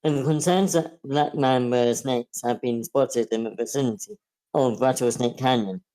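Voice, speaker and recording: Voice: medium pitch, moderate pace, dark tone, natural voice, low-quality recording. A performer playing a television news reporter, reading a formal warning report at a screenplay table read.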